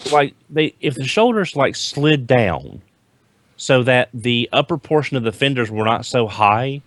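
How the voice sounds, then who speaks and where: A man talking casually as a podcast panelist about toys, often restarting his sentences in a halting way. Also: medium pitch, a bit bright, dry recording. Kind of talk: casual group chat reviewing toys.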